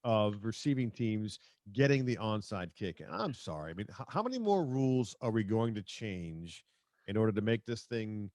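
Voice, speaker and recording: Male sports talk host, animated intonation, incredulous and plain-spoken, casual interjections, dry studio-tight sound. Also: fairly low pitch, normal speed, no echo.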